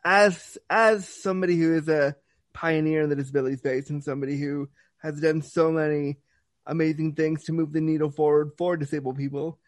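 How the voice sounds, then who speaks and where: A man hosting an interview, talking in an animated, chatty way with frequent restarts. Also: fairly high pitch, a little fast, no echo. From Canada. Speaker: interview host. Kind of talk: casual conversational interview.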